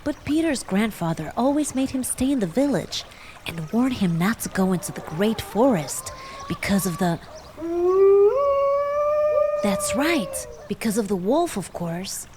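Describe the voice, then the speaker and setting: Animated woman telling a bedtime story. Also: medium pitch, unhurried, heavy background noise. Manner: warm, animated storytelling addressing children. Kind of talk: children's bedtime story narration. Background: music.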